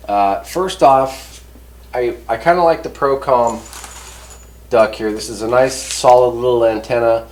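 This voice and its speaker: A man's voice: medium pitch, measured pace, a little mellow. A man giving a casual hands-on product review as a host, talking in a folksy, rambling, easygoing way. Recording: roomy echo, distant mic, background noise.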